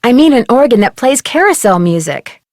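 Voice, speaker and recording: A woman's voice: on the high side, sing-song, moderate pace, darkish tone, no echo, studio quality. A woman playing an earnest, inquisitive young amateur detective in a video game. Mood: explaining, earnest. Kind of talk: scripted game dialogue.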